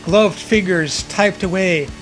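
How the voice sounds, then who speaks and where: A man's voice: sits high, slow pace, fairly bright tone. A young man casually reading fan fiction aloud and riffing on it in an amused, jokey way, with some swearing. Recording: music playing behind the voice. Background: background music.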